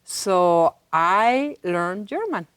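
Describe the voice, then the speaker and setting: Lowish-voiced woman. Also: lively intonation, very slow, fairly bright tone, clean tone, no echo. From Madrid, Spain. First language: Spanish. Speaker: interview guest, university director. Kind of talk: casual conversational interview. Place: TV studio.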